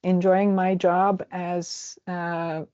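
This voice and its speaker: A woman in her forties from Croatia with a Croatian accent, answering interview questions in a halting way with many fillers and restarts. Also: fairly low pitch, little pitch movement, dark tone, no echo, lo-fi sound. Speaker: travel agent. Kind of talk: casual interview.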